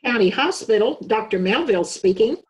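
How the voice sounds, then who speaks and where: Concerned doctor, played by a woman, fairly high and very animated, amateur readers theater. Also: brisk, lightly acted, poor audio. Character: small-town doctor, alarmed and questioning.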